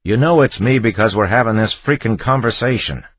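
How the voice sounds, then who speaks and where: Man acting a husky, desperate, pleading husband. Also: on the low side, takes it slowly, no echo, pitched above the performer's own voice. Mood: tense, exasperated. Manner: urgent, emotional, pleading. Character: desperate, pleading husband calming his terrified wife.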